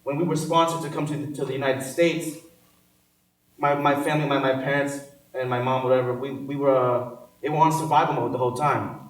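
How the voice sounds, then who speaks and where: A man's voice: medium pitch, fairly even intonation, fairly quick. A man on a panel telling his personal story in an earnest, conversational way. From Cambodia. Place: large hall. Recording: echoey hall from far off.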